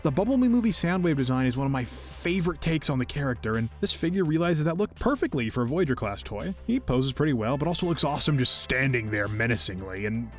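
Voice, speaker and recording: Animated, playful male reviewer over music. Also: medium pitch, fast. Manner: playful, whimsical, expressive delivery. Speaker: toy reviewer and video host. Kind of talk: enthusiastic scripted review narration. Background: background music.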